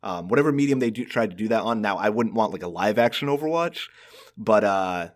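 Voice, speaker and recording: A man's voice: lowish voice, expressive, brisk. A man co-hosting a podcast, chatting casually about games and often repeating words as he works out his opinions. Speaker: podcast co-host.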